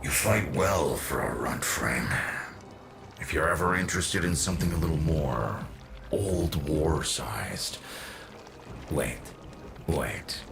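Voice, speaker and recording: Gravelly, deep old warrior. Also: male voice, animated, music in the background, full character voice. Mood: gruff, wry. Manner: wry, halting, drawn-out delivery. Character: gruff, weary old warrior father, wry and wounded. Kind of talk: video game acting.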